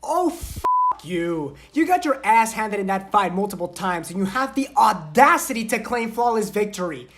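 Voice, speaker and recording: A woman co-hosting a film review with argumentative banter, blunt and quick to nitpick. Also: medium pitch, very animated.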